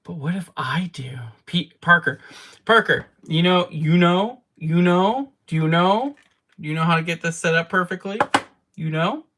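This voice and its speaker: A man's voice: high voice, sharp tone. Chatty man streaming from home. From Canada. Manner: chatty, rambling, quick to laugh at himself. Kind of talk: casual livestream chat answering viewer comments. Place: home game room.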